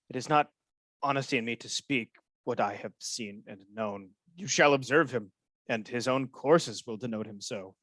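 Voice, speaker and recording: An actor playing a sly schemer feigning honest concern in a Shakespearean radio play, hushed and insinuating. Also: male voice, mid-range voice, no room sound, lightly acted.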